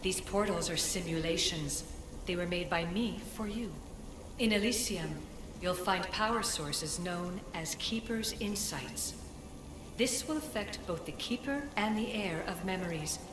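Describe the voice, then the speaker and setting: A woman playing an ancient, solemn spirit guide in a video game, speaking in a measured, formal and cryptic way. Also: on the low side, background noise, lightly acted. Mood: commanding, solemn. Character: ancient female Isu spirit in a staff, solemn commanding guide. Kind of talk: scripted game cutscene acting. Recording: echoey hall reverb over music.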